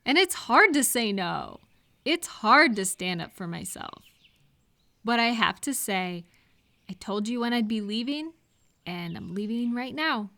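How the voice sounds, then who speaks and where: A woman's voice: a little high, lively intonation, measured pace, sharp tone. A woman speaking conversationally to one listener in a supportive advice roleplay, reassuring and explanatory. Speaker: audio roleplay performer giving supportive advice.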